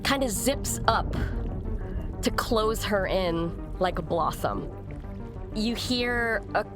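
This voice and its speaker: Woman, tabletop player-narrator. Unhurried, improvised description, lower register, careful word choice, clear music bed. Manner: deliberate, searching for words. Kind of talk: improvised descriptive narration of a role-playing game. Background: prominent music.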